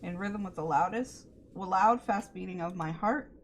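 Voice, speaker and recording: A woman's voice: fairly low pitch, moderate pace, a little hiss or hum. A woman livestreamer reading a visual novel aloud expressively, sometimes stumbling over lines and chatting between passages. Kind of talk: dramatic read-aloud mixed with livestream chat.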